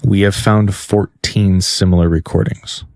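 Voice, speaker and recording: Male cop, low deep voice, terse, no-nonsense, weary, interrogation scene, audio drama, dry room. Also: steady tone, a little slow, darkish tone, dry recording. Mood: incredulous, stern. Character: stern, weary male police officer interrogating a suspect.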